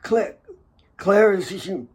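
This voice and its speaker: A man voicing a dying old father, frail and loving, with thin raspy breath and halting, broken speech. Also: high-pitched, expressive, darkish tone, voice pushed up, full character voice. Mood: weak, tender.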